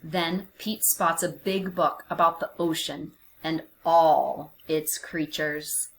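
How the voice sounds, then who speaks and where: A woman narrating a picture book for young children, expressive and deliberate, with dramatic emphasis and hushed phrases. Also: low voice, slight background noise, poor audio. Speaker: children's read-aloud narrator.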